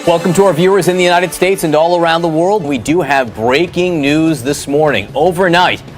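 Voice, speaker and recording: Higher-voiced male breaking news anchor. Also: moderate pace, faint music behind.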